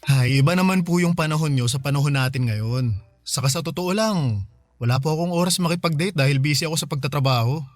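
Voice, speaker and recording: A man's voice: a little high, brisk, dry recording, soft background music, natural voice. An actor playing a dutiful, respectful son in his thirties, polite and conversational in a radio drama. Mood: mildly defensive.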